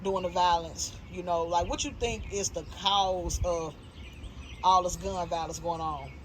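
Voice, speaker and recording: A woman in her thirties with a Southern US accent hosts a loose sit-down chat. She talks in an animated, slangy way and makes strong opinions plain. A light hiss of background noise sits under her voice. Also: lowish voice, measured pace. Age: 30s. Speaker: interview host. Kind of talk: casual interview chat.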